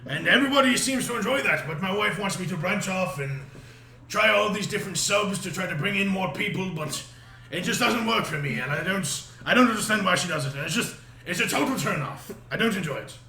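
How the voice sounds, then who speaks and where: Gravelly young man, hesitant in-character talk. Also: high-pitched, expressive, bright, forceful tone. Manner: hesitant, halting with fillers. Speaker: player voicing his character. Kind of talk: in-character tabletop roleplay. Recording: echoey room with background noise.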